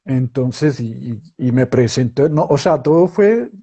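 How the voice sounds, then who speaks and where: A man's voice: mid-range voice, lively intonation, unhurried, warm tone, slightly rough, dull audio. A middle-aged man from Colombia, a guest writer, chatting casually and telling stories with many restarts. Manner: storytelling, many restarts and acted quotes. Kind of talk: casual interview conversation.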